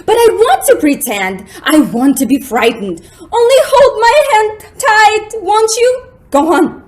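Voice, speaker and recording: A woman acting an excitable, thrill-seeking, easily frightened young woman in a melodramatic, girlish radio drama style. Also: extremely high pitch, a little slow, heavily acted. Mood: playful, thrilled.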